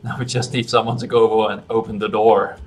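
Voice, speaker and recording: A man's voice: fairly low pitch, level tone, fast talker, faint music behind. A man in his thirties giving casual let's play commentary at home, speaking into a headset. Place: small room at home. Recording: clear background noise, keyboard typing.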